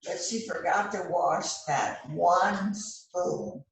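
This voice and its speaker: Echoey, theatrical woman acting as a bossy detective. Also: lowish voice. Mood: triumphant. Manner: theatrical, emphatic, deliberate. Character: bossy, showy police detective questioning a suspect. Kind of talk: amateur readers theater rehearsal. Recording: roomy, distant mic with faint noise.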